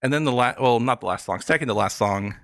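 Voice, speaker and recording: A man chatting casually about the arts on a podcast, explaining things in a halting way with lots of filler words. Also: medium pitch, fairly bright tone, no room sound, studio quality. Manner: hesitant, filler-heavy explaining. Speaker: podcast guest reviewing pop albums. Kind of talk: casual arts talk chat.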